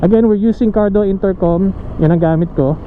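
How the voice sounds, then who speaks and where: A man's voice: sits high, fast talker, narrow, tinny audio, noisy background. A man with a Filipino accent talking casually as a motorcycle vlogger, in a tutorial style. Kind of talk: casual bilingual tutorial talk.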